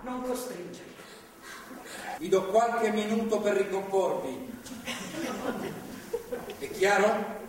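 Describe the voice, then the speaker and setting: A man's voice: sits high, lively intonation, slow, long pauses. Preachy male zealot, distant. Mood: firm, controlling. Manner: preachy, insistent, controlling. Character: insistent, sanctimonious male religious zealot and captor. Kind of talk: live stage drama acting. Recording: distant mic, echoey theatre hall, faint noise.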